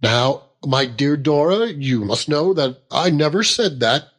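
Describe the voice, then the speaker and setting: A man voicing a fond, earnest young Victorian husband who gently reproves and reasons, in a storybook reading. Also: fairly high pitch, lively intonation, unhurried, voice pushed up. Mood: earnest, reproving.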